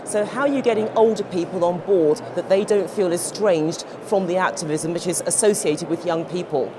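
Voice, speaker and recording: A woman's voice: on the low side, normal speed. A woman hosting a broadcast interview, polished and upbeat in a conversational way. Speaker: interview host. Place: studio at an outdoor event. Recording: noisy background ambience with traffic.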